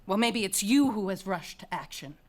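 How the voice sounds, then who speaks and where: An actress playing a defiant, intense young princess in a dramatic reading of a Greek tragedy, with a taunting manner. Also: female voice, medium pitch, lively intonation, normal speed, darkish tone, natural voice. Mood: taunting, angry. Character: defiant young princess condemned for burying her brother. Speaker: actor.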